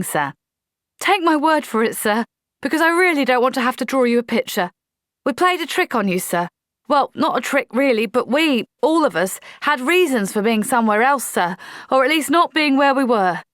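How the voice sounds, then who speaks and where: An earnest young woman soldier answers her officer firmly and dutifully as a woman reads her aloud. The voice sits raised and bright. A close, dry storybook reading. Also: high-pitched, big pitch swings, moderate pace, no echo, pitched above the performer's own voice. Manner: firm, apologetic, dutiful.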